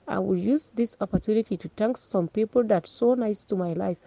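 Woman voicing a teenage girl, emotional graduation speech, halting delivery, mellow tone, dry sound. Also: medium pitch, vocal fry, no room sound. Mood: tearful. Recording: dull lo-fi recording.

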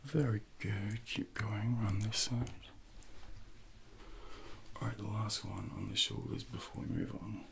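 A man in his thirties speaking in a soft, breathy near-whisper, gently and slowly coaching a workout as an ASMR personal trainer. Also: fairly low pitch, fairly even intonation, a little hiss or hum. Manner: slow, gentle, hushed coaching.

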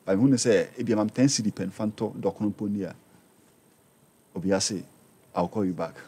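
A Ghanaian man in his forties, a radio guest telling his life story with animated delivery, switching between Twi and English in a studio. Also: fairly low pitch, normal speed, slightly rough. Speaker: interview guest, business owner. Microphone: studio mic on boom arm.

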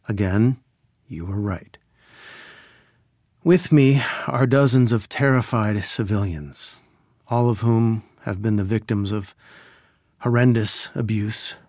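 A man's voice: on the low side, darkish tone, no echo. A middle-aged scientist who was once a superhero. He speaks in earnest, articulate sentences with serious purpose, as in a dramatic video game. The sound is close and dry. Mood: weary, tender. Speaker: video game character actor.

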